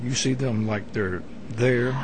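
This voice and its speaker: A man's voice: medium pitch, takes it slowly, background noise. A man interviewing a guest, asking hesitant questions with frequent restarts.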